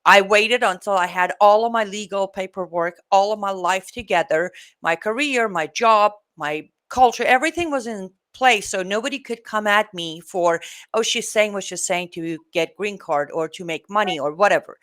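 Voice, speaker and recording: A woman's voice: medium pitch, normal speed. Outspoken Iranian-born woman in her forties. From Iran. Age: 40s. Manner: outspoken, animated storytelling. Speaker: guest. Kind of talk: casual interview talk.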